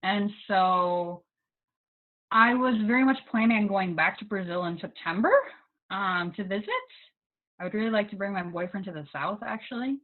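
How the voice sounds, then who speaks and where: A woman's voice: mid-range voice, normal speed. Chatty American woman on a tinny call. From United States. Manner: chatty, with many ums and likes. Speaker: guest English teaching assistant. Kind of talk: casual conversation with students. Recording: tinny phone-like video call audio.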